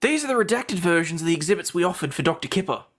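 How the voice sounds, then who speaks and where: A man with a somewhat high voice reads an attorney's part from a court transcript. He moves through the lines very quickly, as one panelist in a group reading. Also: animated, a bit bright. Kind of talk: reading courtroom dialogue aloud.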